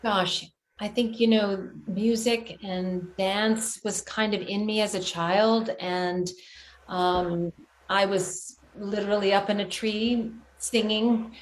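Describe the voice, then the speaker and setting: A middle-aged American woman giving reflective interview answers, thoughtful and pausing often. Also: mid-range voice, fairly even intonation, a little hiss or hum, low-quality recording. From San Francisco, United States. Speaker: guest, performing and teaching artist.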